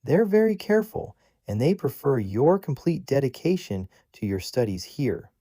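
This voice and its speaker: A man voicing a bright, eager young woman, talking with gushing enthusiasm in an audiobook. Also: medium pitch, big pitch swings, normal speed, dry recording, studio quality, pitched above the performer's own voice.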